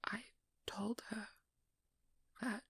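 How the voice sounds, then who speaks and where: A woman playing a guarded, protective young vampire hunter in a hushed, breathy ASMR roleplay, speaking slowly with halting pauses close to the mic. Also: a little high, little pitch movement, dry recording, heavily acted. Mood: wistful, pained. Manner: slow, halting, intimate delivery with pauses. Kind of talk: whispered ASMR roleplay, dramatic monologue. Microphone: close microphone, dry.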